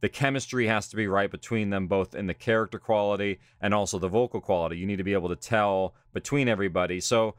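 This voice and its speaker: A man's voice: lowish voice, steady tone, fast, hardly any pauses, no echo, clean studio sound. A man speaking as an audio drama director in an interview, earnestly explaining his work in a conversational way.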